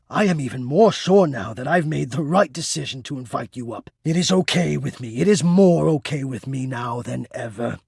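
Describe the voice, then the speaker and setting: A man voicing an anxious, melodramatic, yearning male game character who hides away, with florid, halting, theatrical speech. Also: very high-pitched, breathy edge, no echo, studio quality, heavily acted. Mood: nervous, eager. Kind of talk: video game character acting.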